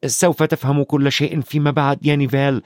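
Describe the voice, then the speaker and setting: A man's voice: mid-range voice, level tone, quick pace, a little mellow, no room sound, clean studio sound, pitched above the performer's own voice, close to an everyday voice. A man voicing an impatient, hot-headed schoolboy who speaks bluntly and irritably. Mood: irritated.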